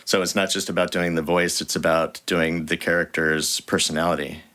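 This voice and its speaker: A very low-pitched man talks in a relaxed interview as the guest voice actor. His delivery is animated and playful, and he often stops and restarts his sentences. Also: fairly quick. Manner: animated and jokey, with frequent restarts. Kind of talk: casual interview chat.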